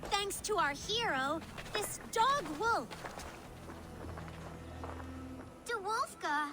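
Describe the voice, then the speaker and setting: A woman's voice: extremely high pitch, expressive, slow, noisy background, exaggerated voice. A woman playing a bold, bossy, imaginative little child in a video game, insistent and matter-of-fact. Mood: playful, opinionated. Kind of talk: video game cutscene acting.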